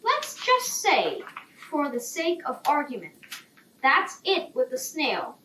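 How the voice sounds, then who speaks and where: A worried, secretive storybook elephant played by a woman, blustering and easily flustered. The voice is high and very expressive, heard a little far off in a roomy space. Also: takes it slowly, full character voice. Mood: insistent. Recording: roomy, distant, faint background noise.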